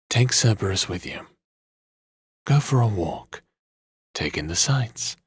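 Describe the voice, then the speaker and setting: A man acts out a brooding lord of the underworld in a fantasy audio drama. He speaks low and very husky, in a gentle, weary, unhurried way, recorded close and dry. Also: no echo, exaggerated voice.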